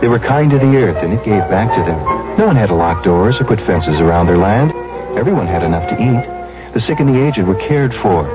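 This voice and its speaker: A man with a low, deep voice narrates solemnly, as if reading a fairy tale. Loud music surrounds him on a dull old radio recording. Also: expressive, fairly quick. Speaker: advert narrator. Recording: muffled old radio broadcast.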